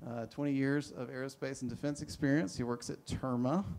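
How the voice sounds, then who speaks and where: A man's voice: mid-range voice, steady tone, a little fast, a little mellow, a little hiss or hum. A man moderating a panel, introducing the speakers in a rambling, self-deprecating way. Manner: rambling, self-deprecating, many fillers and restarts.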